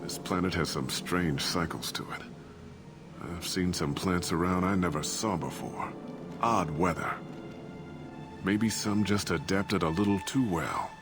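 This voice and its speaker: A man's voice: deep voice, measured pace. A middle-aged man playing a disgraced, evasive ship captain and father in a video game, talking defensively and justifying himself. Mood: evasive, wry. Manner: defensive, rationalizing. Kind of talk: dramatic video game voice acting. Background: cinematic background music.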